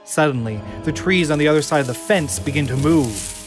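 A man's voice: a little high, lively intonation, a little fast, over music. Man narrating stage directions. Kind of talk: scripted narration of an audio drama.

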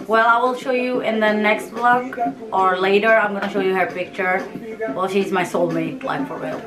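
A woman's voice: medium pitch, low-quality recording. A woman in her thirties from Yemen and Somalia, chatting frankly and animatedly to camera as a vlogger from a small room at home. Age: 30s. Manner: frank, animated, gestures with hands. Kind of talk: casual direct-to-camera chat. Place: small home room, seated in a hanging chair. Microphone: camera in front, mid distance. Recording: music clearly under the voice. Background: music playing in the background.

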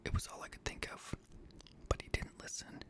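A young man reading scary stories in a hushed, breathy ASMR whisper, gently, close to a studio microphone. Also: moderate pace. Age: young adult. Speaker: host and reader of scary stories. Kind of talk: whispered ASMR horror story narration. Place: small indoor home studio room. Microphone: close boom-arm studio microphone.

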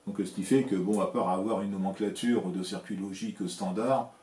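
A man in his sixties from France, talking casually to camera from his home workshop, explaining things in a measured, plain way with frequent hesitant fillers. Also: sits low, fairly even intonation, a little mellow, echoey room, a little hiss or hum. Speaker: electronics hobbyist, channel host. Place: home workshop with shelves of equipment, indoors. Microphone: camera framing at desk, seated.

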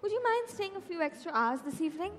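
A woman's voice: very high-pitched, animated, fast, a little hiss or hum. A woman playing a polite, flustered working mother in a stage comedy, hesitant and earnest. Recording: echoey hall with music.

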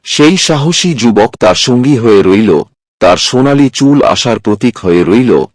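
A man's voice: mid-range voice, expressive, unhurried, no echo. A man reading a scripted fairy tale as a storyteller, recorded up close as a voice-over. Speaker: storyteller, narrator.

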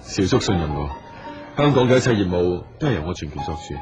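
Polite earnest businessman, low voice, hong kong cantonese, radio play, music behind him. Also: very animated, fast. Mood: businesslike. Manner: polite, solicitous. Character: earnest, attentive businessman, loyal and persistent. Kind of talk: radio drama acting. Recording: dull audio with music underneath.

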